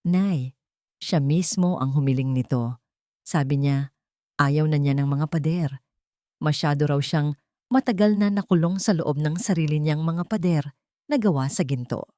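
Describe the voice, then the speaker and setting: A woman's voice: sits low, measured pace, pauses often, warm tone, no room sound, clean studio sound. A woman narrator voicing a hopeful, reassuring young wife in a story. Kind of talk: storybook dialogue within narration.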